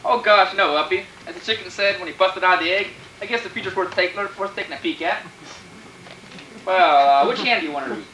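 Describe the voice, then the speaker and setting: An actor playing a brash, cocky, folksy husband who wisecracks in 1940s radio comedy style. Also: male voice, high-pitched, brisk, pushed and bright. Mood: brash, joking. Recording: echoey stage, distant, faint noise.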